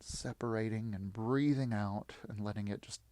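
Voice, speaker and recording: A man leading a guided yoga nidra meditation in short, soothing, encouraging phrases with long pauses. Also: on the low side, dark tone, no echo.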